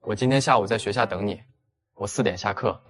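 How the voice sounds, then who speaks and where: A man playing a seemingly innocent, shrewd young university student in an animated drama. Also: on the low side, fairly quick, lightly acted. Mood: teasing. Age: young adult. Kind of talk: audio drama acting for an animated story.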